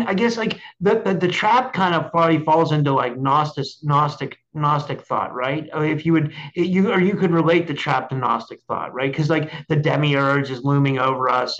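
A man hosting a casual chat show, talking haltingly with repeated words and frequent checking tags. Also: fairly high pitch, a bit bright, muffled recording. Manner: halting, repetitive, frequent "like" and "right?". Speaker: show host and interviewer.

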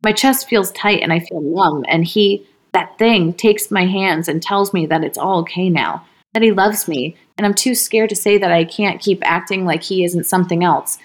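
A woman's voice: on the low side, moderate pace. A woman podcast co-host reading a first-person horror story aloud. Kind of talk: horror story read aloud, then casual chat.

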